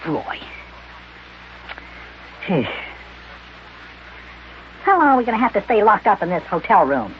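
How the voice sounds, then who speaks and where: Plucky boy sidekick, boyish and exclamatory, lively swooping pitch, vintage radio serial sound. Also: measured pace, pauses often, dull audio, slight background noise. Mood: impatient, excitable. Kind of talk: old-time radio children's serial acting. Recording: band-limited old radio recording.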